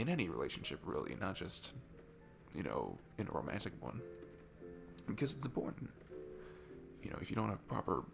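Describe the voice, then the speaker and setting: A man playing a sweet, nervous young guy at a bar in an intimate ASMR roleplay, talking in a halting, earnest, awkward way. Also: lowish voice, creaky. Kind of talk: ASMR roleplay audio, casual intimate chat. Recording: music behind the voice. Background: music.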